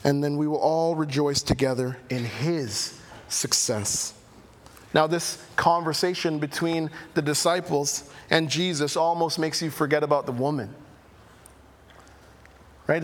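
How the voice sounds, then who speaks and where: A man delivering a sermon in a church, his fairly high voice carried by a long reverberating hall. He reads scripture aloud, then explains it with an animated, emphatic delivery and light joking asides. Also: moderate pace. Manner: animated, rising to emphatic highs, playful asides. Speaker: pastor.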